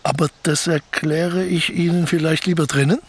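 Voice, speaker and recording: Gravelly, slick, bossy con man. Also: a little high, fairly even intonation, normal speed. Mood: polite, evasive. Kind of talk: German radio drama acting.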